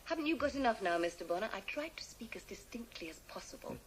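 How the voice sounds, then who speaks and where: An actress in a radio drama playing a guarded, sharp-tongued woman writer who is curt, evasive and coolly defiant. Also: on the high side, very animated, fast talker. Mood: cold, defiant. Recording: noisy 1980s radio drama broadcast.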